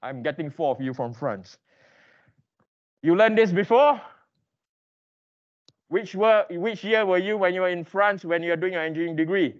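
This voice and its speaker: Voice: medium pitch, lively intonation, moderate pace, pauses often, dull audio. An engineering lecturer teaching interactively in a classroom, quizzing students with emphatic, repeated questions and prodding them for answers.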